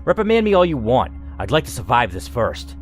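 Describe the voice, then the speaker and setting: A man's voice: mid-range voice, wildly swooping pitch, fairly quick. A man voicing a fiery, exhausted woman facility worker in a game story, blunt, defiant and sarcastic. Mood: defiant, fed up. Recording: music under the voice.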